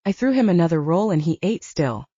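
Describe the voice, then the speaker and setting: A woman narrating a first-person audiobook in a lively storytelling manner, lightly voicing the dialogue. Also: fairly low pitch, a little fast, husky, no room sound, muffled recording.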